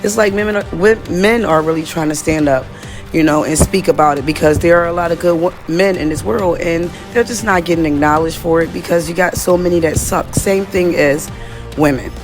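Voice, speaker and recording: Raspy, chatty woman from the US. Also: lowish voice, brisk, faint music behind. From United States. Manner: chatty, direct, addresses viewers as y'all. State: slightly hoarse. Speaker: vlogger. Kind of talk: casual diary-style monologue.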